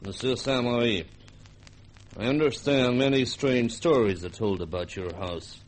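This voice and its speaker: An actor playing a middle-aged governor, formal, courteous but dismissive. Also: male voice, medium pitch, unhurried, lightly acted, rough recording. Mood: courteous, firm. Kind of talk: vintage radio drama acting.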